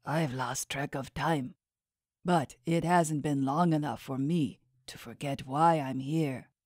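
An ancient, formidable old captive of an unearthly kind, as a woman narrator acts him out in a fantasy audiobook. The voice sits low and close in a dry recording, measured and full of authority. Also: a little mellow, no room sound. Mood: weary, grave. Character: ancient, imposing, authoritative shackled non-human old man.

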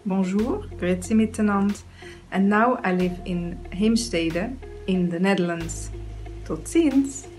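A woman's voice: mid-range voice, measured pace, a little mellow, echoey room, faint music behind. A woman from Switzerland introducing herself to camera, with cheerful greetings in several languages. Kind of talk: short self-introduction to camera.

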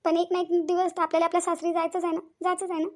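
A woman's voice: extremely high pitch, monotone, rapid-fire. A woman playing a tender, earnest young married village woman in a family drama, with a Varhadi Marathi accent and an emotive, pleading way of repeating phrases. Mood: wistful. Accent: Varhadi Marathi from Vidarbha. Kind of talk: amateur family drama acting.

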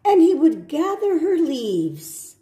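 A woman reads a children's storybook in a high, mellow voice. Her phrasing is slow and deliberate, with short asides to young listeners. The room adds a noticeable echo. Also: sing-song. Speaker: storybook reader. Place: indoor room at home.

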